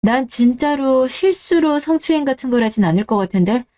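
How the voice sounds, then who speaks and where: Cool, reproachful wife with mellow high voice, acted. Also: female voice, animated, brisk. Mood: stern, unforgiving. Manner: cold, interrogating, reproachful. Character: betrayed, cool-headed wife, reproachful and unforgiving. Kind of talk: radio-drama story dialogue.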